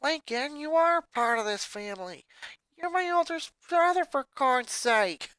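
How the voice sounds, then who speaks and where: A woman's voice: sits high, lively intonation, unhurried, fairly bright tone, no room sound, pitched above the performer's own voice. Woman doing an earnest tomboy little girl. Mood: pleading.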